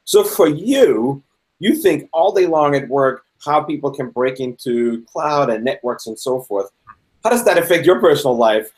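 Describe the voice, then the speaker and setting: A man's voice: medium pitch, animated, normal speed, darkish tone. A middle-aged man from Austria hosting a live interview over a video call, curious and asking personal questions. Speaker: host. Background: fire engine passing.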